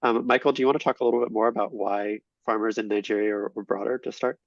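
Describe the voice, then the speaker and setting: A man hosts an online panel, asking his guests questions with frequent hesitant ums. His voice is lowish and gravelly. The audio sounds dull and dry, like a video call. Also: fairly even intonation, quick pace, no room sound. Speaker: panel moderator.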